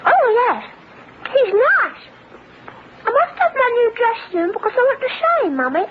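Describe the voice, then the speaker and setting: Very high-pitched little girl. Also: huge pitch swings, normal speed, full character voice. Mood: eager. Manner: earnest, childlike, chattering. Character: chatty, innocent little girl of five. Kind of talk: radio drama acting. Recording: dull lo-fi radio recording, faint noise.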